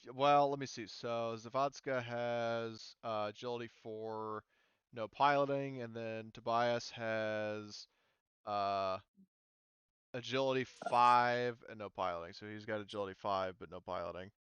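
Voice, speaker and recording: A man's voice: medium pitch, steady tone, unhurried, a bit bright, smooth, no room sound, highs cut off. A man narrating a tabletop roleplaying game as game master in a casual, informal way, thinking aloud as he goes.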